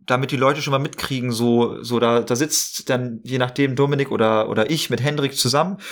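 Man in casual interview, halting delivery. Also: mid-range voice, fairly even intonation. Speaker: interview guest, role-play podcast maker.

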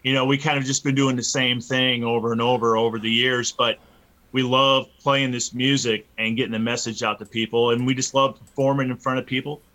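A man in his early fifties with a bright, forceful voice at a middling pitch. He talks informally and earnestly as a musician being interviewed, often hesitating as he finds his phrasing. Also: flat delivery, a little fast, rough recording. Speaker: interview guest, metal band musician. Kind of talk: casual interview chat.

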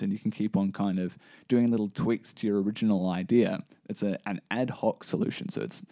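Mellow low-voiced man, dry sound. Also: no echo. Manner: thoughtful, restarts sentences while reasoning aloud. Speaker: podcast co-host. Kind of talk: casual science chat.

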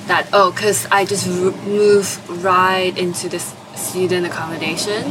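A woman's voice: fairly low pitch, noisy background. A woman in her twenties from Taiwan, answering interview questions hesitantly with many fillers. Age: 20s. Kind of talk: oral history interview.

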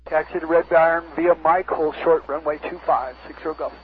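A man's voice: fairly high pitch, fairly even intonation, brisk. A man who is a pilot, making procedural calls and readbacks over aviation radio. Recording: muffled aviation radio, clear static.

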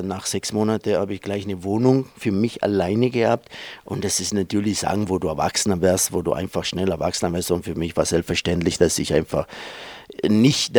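A man's voice: low-pitched, darkish tone, dry recording. A man in his forties from Italy with an Italian accent, answering interview questions in a hesitant way with many fillers. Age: 40s. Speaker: interview guest.

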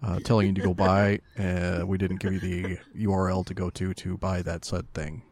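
A man's voice: low voice, little pitch movement, normal speed, darkish tone, no room sound. A man co-hosting a podcast with casual, wry, joking banter. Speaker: podcast co-host.

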